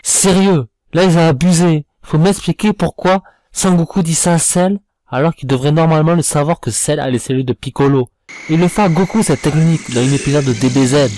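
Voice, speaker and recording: A man's voice: fairly high pitch, a little fast, a little mellow, a little hiss or hum. A man reviewing in a voice-over, giving informal critical commentary in a blunt, slangy, complaining manner. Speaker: video reviewer. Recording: voice-over with music behind.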